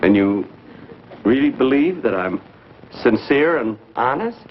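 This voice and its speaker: An actor playing a thrifty, put-upon fiancé with a dry, exasperated manner in 1950s broadcast comedy style. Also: male voice, medium pitch, sing-song, a little slow. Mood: bewildered, touched. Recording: hissy, muffled 1950s broadcast recording.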